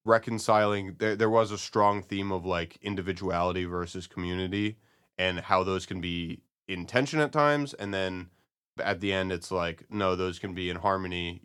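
A man co-hosting a podcast, discussing and reviewing in a casual, halting, analytical way. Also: sits low, steady tone, a bit bright, smooth. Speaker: podcast co-host.

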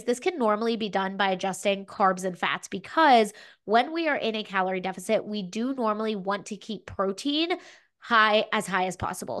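A woman's voice: medium pitch, a bit bright. A young adult woman co-hosting a fitness podcast, giving casual advice with lots of "like" and "you know", a little stuffy from a cold. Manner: chatty, frequent "like" and "you know". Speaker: podcast co-host, fitness coach.

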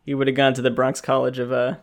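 A man's voice: mid-range voice, monotone, fast talker, vocal fry. A man co-hosting a podcast, talking in a wry, joking way and laughing often. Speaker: podcast co-host. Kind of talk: casual chat.

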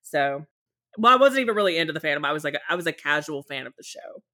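Animated young woman host, slightly low voice. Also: fast talker. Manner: animated storyteller, self-deprecating. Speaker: podcast host. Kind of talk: casual chat over a call.

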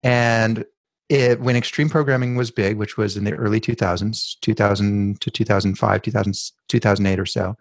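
Man explaining software design, dry sound. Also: on the low side, steady tone, moderate pace, no room sound. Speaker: podcast guest.

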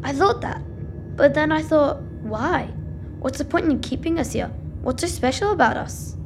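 Creaky-voiced, doubting young boy. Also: high voice, expressive, normal speed, noisy background. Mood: suspicious, hurt. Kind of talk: audio drama acting. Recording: clear music underneath.

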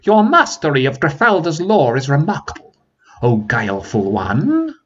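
A man voicing a scheming, slippery male dragon in a storybook reading, with a shrill, hissing voice and slow, drawn-out, mocking speech. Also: huge pitch swings, dull audio. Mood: strained calm.

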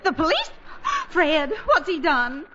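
A woman's voice: high voice, very animated, slow pace, pushed and bright, full character voice. An actress playing a fretful, scatterbrained middle-aged housewife and mother, flustered and sighing, in 1940s radio comedy style. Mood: alarmed. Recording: very noisy, muffled 1940s radio recording.

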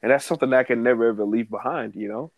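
A man's voice: mid-range voice, rapid-fire, dull audio. A man from Brooklyn hosting a casual interview, talking loosely with street slang. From Brooklyn, New York.

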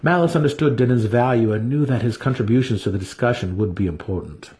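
Mellow male fantasy audiobook narrator. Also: medium pitch, quick pace. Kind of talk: dramatic scripted narration.

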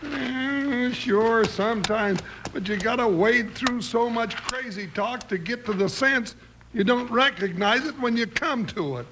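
Big comic western deputy, very high-pitched voice, folksy, grumbling wisecracks, noisy vintage radio recording. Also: male voice, measured pace. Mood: exasperated. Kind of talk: old-time radio western comedy acting.

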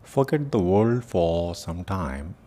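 A man leading a guided meditation as teacher, giving soft-spoken instructions with long pauses. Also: big pitch swings. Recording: noisy with low rumble.

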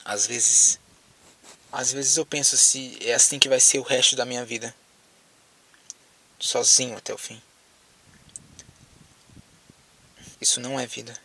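A man acting in an audio drama as a lonely, frightened apocalypse survivor with a Brazilian accent, speaking haltingly as if on a phone call. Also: medium pitch, steady tone, pushed and bright, breathy edge, far from the mic, full character voice. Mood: resigned despair. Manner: hesitant, halting, repeats words.

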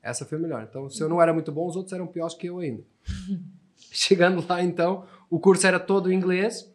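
A man from Portugal speaks with a European Portuguese accent, his voice sitting a little high for a man. He talks quickly and chattily, often restarting phrases and tagging questions onto the ends of sentences as he tells stories as a podcast guest. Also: fairly even intonation. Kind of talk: casual storytelling conversation.

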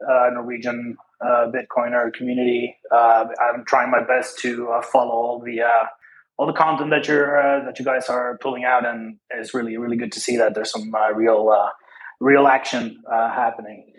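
A chatty man from Argentina with a mid-pitched voice, casual and easygoing as he talks. His tone stays relaxed and friendly throughout. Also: normal speed, rough recording. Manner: casual, chatty, laid-back. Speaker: audience member.

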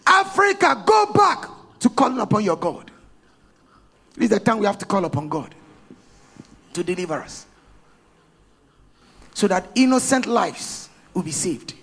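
A man leading a fervent prayer as a pastor, calling out short shouted lines for others to repeat. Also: high-pitched, very animated, a little slow, creaky, pauses often, husky. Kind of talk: call-and-response prayer.

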